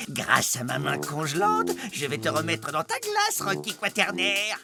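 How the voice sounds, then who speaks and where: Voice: medium pitch, full character voice. An actor voicing a pompous, gloating, glory-hungry mad professor villain in a French cartoon.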